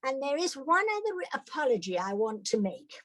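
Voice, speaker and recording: A woman's voice: high-pitched, sing-song, moderate pace, a bit bright, dry recording, clean studio sound. An older British woman giving a personal talk to an audience, hesitant, with many fillers and restarts. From Britain. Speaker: guest speaker.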